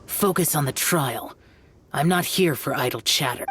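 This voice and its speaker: A man's voice: fairly high pitch, moderate pace, fairly bright tone, slight background noise, natural voice. A man voicing a cold, stern, youthful immortal warrior in a video game, curt and terse. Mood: stern, solemn. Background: music.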